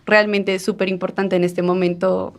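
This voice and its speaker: A woman journalist from Colombia, a radio guest who explains at length with restarts and hesitant pauses. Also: on the low side, level tone. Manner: rambling, many fillers and restarts.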